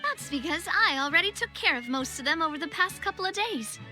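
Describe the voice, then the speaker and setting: A confident, friendly young female leader in a video game. The voice is high and very animated, with a crisp bright edge. Her manner is breezy and self-assured. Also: brisk, soft background music, heavily acted. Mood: serious, explaining.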